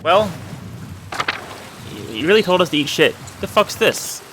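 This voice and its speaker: A man's voice: high-pitched, animated, unhurried, fairly bright tone, a little hiss or hum. A man giving casual let's play commentary, informal and slangy, musing to himself as he goes. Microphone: wired mic.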